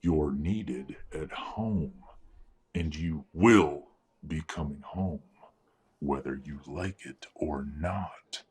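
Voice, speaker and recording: A man playing a menacing, controlling werewolf father in an audio drama, husky and breathy, smug and deliberate. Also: rumbling low, darkish tone, heavily acted. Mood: threatening. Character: menacing, controlling werewolf patriarch, middle-aged or older father.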